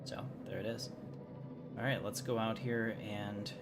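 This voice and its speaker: A man's voice: lowish voice, fairly even intonation, unhurried. A man giving casual, informal gameplay commentary as a streamer, thinking aloud as he plays. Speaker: gaming streamer, channel host. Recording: loud music behind the voice.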